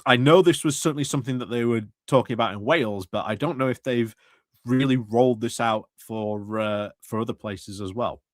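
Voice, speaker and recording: A man's voice: mid-range voice, a little fast, dry recording. Male streamer, casual political commentary, hesitant and conversational, dry close sound.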